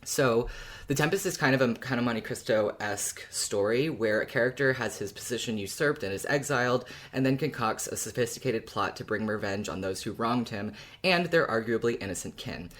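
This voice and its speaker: A podcast co-host speaks in a measured, thoughtful way. The delivery is casual but explanatory, as in a relaxed discussion among fans. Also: mid-range voice.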